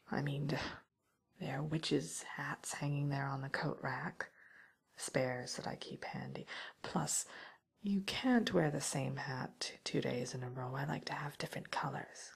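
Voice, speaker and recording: A woman playing a wry, teasing witch who scolds an intruder in an ASMR roleplay, speaking softly and often in a whisper. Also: low voice, heavily acted. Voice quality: soft, breathy, often whispered. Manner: teasing, musing, mock-stern, theatrical.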